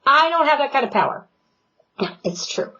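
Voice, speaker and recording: A woman teaches singing in an online lesson, loud and animated, with a playful edge. Her voice sits fairly high. The recording sounds a little dull and lo-fi. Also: normal speed. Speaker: vocal coach.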